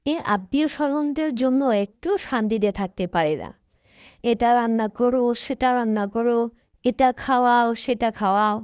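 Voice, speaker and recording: A woman's voice: on the high side, normal speed, darkish tone, clear, resonant tone, dry recording, muffled recording, close to an everyday voice. Woman doing a grumbling cartoon granny. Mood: fed up. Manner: complaining, exasperated. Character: grumbling grandmother tired of cooking.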